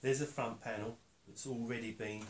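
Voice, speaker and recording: An older man giving a casual step-by-step demonstration, halting and matter-of-fact as he thinks aloud while working. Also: medium pitch, steady tone. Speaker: woodworker demonstrating his build. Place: concrete-block workshop and house room. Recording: echoey room, faint noise, handling clicks.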